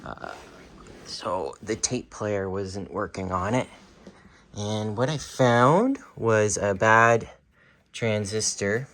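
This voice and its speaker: A man in his thirties talking casually and haltingly, thinking aloud as he presents a home repair vlog on a handheld phone. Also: lowish voice, fairly bright tone. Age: 30s. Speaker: hobbyist repairer showing his work. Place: carpeted room at home. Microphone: handheld phone, at arm's length.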